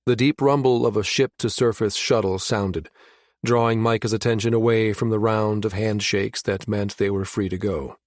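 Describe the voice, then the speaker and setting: Low-voiced male audiobook narrator. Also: fairly even intonation, moderate pace, dry recording, clean studio sound. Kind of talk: scripted narration.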